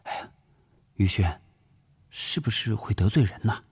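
An officer's anxious wife, put on by a male narrator frets and exclaims in an audiobook drama. The voice is lifted high and husky, with quick, swooping questions. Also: big pitch swings, darkish tone. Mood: worried.

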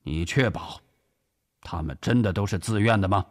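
A man's voice: fairly low pitch, normal speed, close to an everyday voice. A man voicing a sincere, commanding army general in an audiobook, firm and earnest. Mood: stern questioning.

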